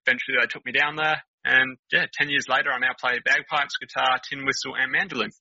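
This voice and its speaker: A man's voice: mid-range voice, level tone, fast, bright, forceful tone, breathy edge, dry recording, highs cut off. A man with an Australian accent, a podcast guest chatting informally and telling stories in a casual way. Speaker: podcast guest, counsellor and motivational speaker. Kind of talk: casual storytelling chat.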